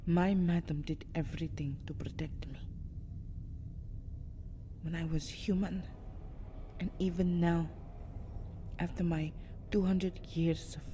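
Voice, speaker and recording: Woman acting mellow, breathy, anguished vampire girl. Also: low voice, slight background noise. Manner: theatrical, often dropping into breathy whispers, swelling into agitated outbursts. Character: anguished two-hundred-year-old vampire girl, proud, fiercely protective, distraught. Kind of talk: ASMR roleplay monologue, melodramatic acting.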